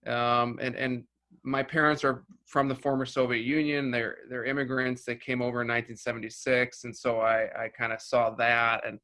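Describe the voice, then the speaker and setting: Bright-voiced man, rambling interview talk. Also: medium pitch, level tone, moderate pace. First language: Russian. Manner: rambling, full of restarts and "you know". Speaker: filmmaker guest.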